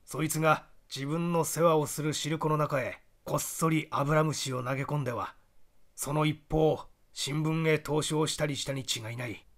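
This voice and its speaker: A man's voice: a little high, steady tone, moderate pace, fairly bright tone. Man acting out a brooding young amateur sleuth. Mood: suspicious, frustrated. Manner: muttering to himself, deliberating aloud. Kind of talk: dramatised audiobook character reading.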